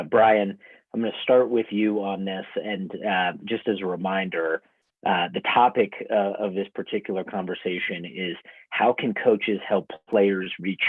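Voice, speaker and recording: A man moderating a panel, speaking in a measured, halting way. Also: deep voice, breathy edge, dry recording. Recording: tinny, dry phone-like line.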